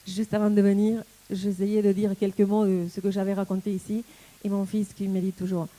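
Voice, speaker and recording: A woman from Argentina in her forties with an Argentine accent, a tango dancer and teacher, speaking in a thoughtful, halting way as she searches for words. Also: mid-range voice, flat delivery, mellow, low-quality recording. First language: Spanish. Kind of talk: personal reflective talk.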